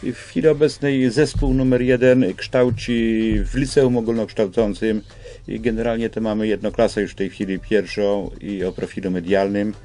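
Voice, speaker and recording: Older man, low halting voice. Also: level tone, mellow. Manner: halting, hesitant phrasing with repeated starts. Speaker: school representative, radio guest. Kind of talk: explanatory radio interview answers. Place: small radio studio. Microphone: studio microphone on boom arm.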